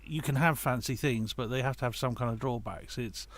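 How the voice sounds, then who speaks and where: A man's voice: mid-range voice, brisk. A man talking casually as a podcast guest, explaining his creative choices with repeated starts and self-corrections. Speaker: guest, audio drama writer and creator.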